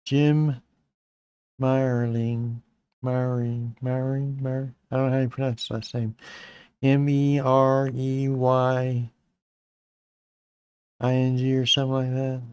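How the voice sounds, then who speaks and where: A middle-aged man with a slightly raspy voice, hosting a casual live-streamed Linux tutorial, rambling with fillers and restarts. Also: medium pitch, little pitch movement, takes it slowly, long pauses, darkish tone. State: slightly raspy, clearing his throat.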